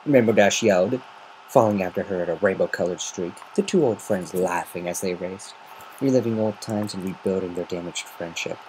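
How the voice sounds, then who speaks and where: A man's voice: low voice, moderate pace, darkish tone, a little hiss or hum, poor audio. A man narrating a story as an amateur semi-dramatic reading. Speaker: amateur fan fiction reader.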